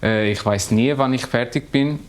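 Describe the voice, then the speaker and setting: A man in his thirties, a postal worker, speaking simple halting as an in a documentary interview. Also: medium pitch. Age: 30s. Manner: simple halting German, laughs often.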